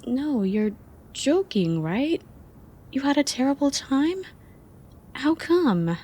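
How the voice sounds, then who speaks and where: A young woman playing an affectionate, teasing friend in an intimate ASMR roleplay, speaking softly and expressively. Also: sits high, slow pace, full character voice. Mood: surprised, playful. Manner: soft, playful, expressive.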